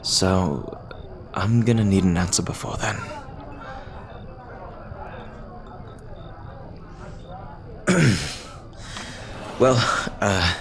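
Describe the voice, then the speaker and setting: Guy doing a low voiced pirate captain in love, halting and tender, old-timey wording, asmr roleplay. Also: a crowd in the background. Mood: resigned, gentle. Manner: halting, earnest, formal old-fashioned phrasing. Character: earnest lovestruck male pirate captain, hesitant and tender. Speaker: audio roleplay performer.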